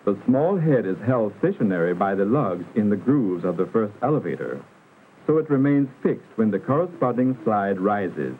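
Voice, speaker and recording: A man narrating a 1960s educational film in a formal, instructional manner with careful, measured enunciation. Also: fairly low pitch, sing-song. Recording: muffled vintage film soundtrack, narrow band, hiss.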